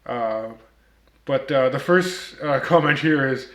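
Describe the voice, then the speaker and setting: A man's voice: mid-range voice, slow, fairly bright tone, roomy sound. Man with a slight Turkish accent. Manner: many ums and uhs, wry comebacks. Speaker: watch reviewer, channel host. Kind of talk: casual vlog commentary.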